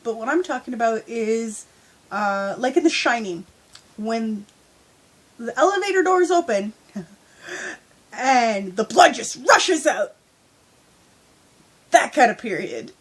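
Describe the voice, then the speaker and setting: A young woman talks into a phone she holds at arm's length while lying in bed at home. Her voice sits a little high and has a husky edge, with a stuffy nose from a cold. She chats candidly and fills her talk with easy fillers. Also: animated, slow, pauses often. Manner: chatty and candid, with frequent fillers. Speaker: vlogger. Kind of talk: casual storytime vlog. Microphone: handheld phone at arm's length.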